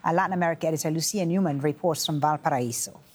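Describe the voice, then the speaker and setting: A woman reading scripted news as an anchor, with a husky voice. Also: low voice, very fast, a little mellow. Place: broadcast studio.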